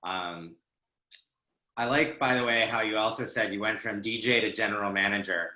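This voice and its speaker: A man's voice: lowish voice, normal speed, bright, forceful tone, echoey room. A man moderating a panel, upbeat, with a joking turn.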